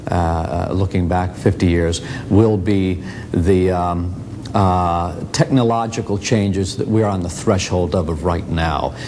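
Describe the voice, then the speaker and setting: A man giving reflective interview commentary as an author, with halting, deliberate, measured phrasing. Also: darkish tone. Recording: clear background noise with low rumble.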